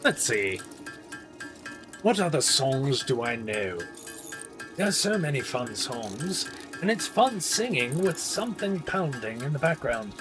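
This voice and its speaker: A man's voice: medium pitch, big pitch swings, a little slow, over music. A male game streamer chatting casually on a live stream, playful and rambling, with a self-mocking streak.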